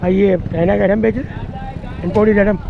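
Gravelly high-voiced stall vendor. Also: male voice, steady tone, normal speed, background noise. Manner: terse, gravelly answers naming prices. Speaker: vendor selling small imported goods. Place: outdoor market stall. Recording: phone-like thin sound with a crowd behind.

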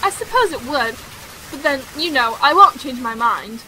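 High, bright, headstrong young girl, played by a woman. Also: expressive, normal speed, a little hiss or hum, low-quality recording. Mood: defiant. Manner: candid, theatrical, emphatic. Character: outspoken, headstrong young society girl, candid chatterbox. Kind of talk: period romantic audio drama acting.

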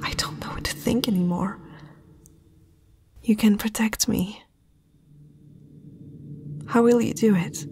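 Casting: young fantasy princess played by a woman, proud but uneasy, very breathy whisper, unhurried, intimate ASMR delivery. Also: mid-range voice, a little mellow. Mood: wistful, yielding. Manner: hesitant, slow, intimate. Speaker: ASMR roleplay performer.

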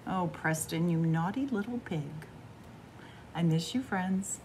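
A middle-aged woman reading a picture book aloud for children, dramatic, with hushed whispers and drawn-out words. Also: lowish voice, very noisy. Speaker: library story time host. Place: small library room with bookshelves, indoors.

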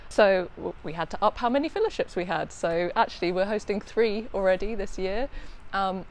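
A woman's voice: medium pitch, normal speed, slight background noise, low-quality recording. A woman speaking casually and upbeat in an interview, recorded outdoors in a courtyard garden on a lapel mic. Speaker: head of research partnerships.

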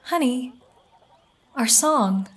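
A woman playing a gentle, protective farm mother in an audio drama. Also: a little high, animated, very slow, slightly rough, full character voice.

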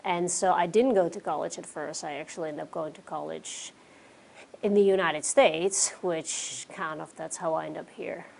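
A woman in her forties from the Czech Republic with a Czech accent, relaxed as an interview guest, sprinkling in fillers. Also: low-pitched, normal speed, gravelly, low-quality recording. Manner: relaxed, many fillers like "you know" and "um". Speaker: interview guest, pro cyclist. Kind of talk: casual interview answers. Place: small studio with curtains, indoors.

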